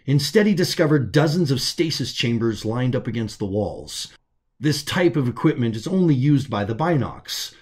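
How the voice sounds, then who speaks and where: A man with a medium-pitched voice narrates a science fiction audiobook. His delivery is scripted and measured, using dramatic pauses for weight. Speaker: author narrating own story.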